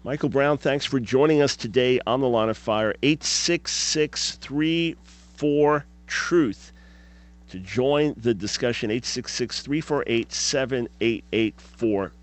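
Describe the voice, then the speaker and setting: A man's voice: mid-range voice, takes it slowly. A man in his fifties hosting a radio talk show, speaking emphatically and asking rhetorical questions. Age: 50s.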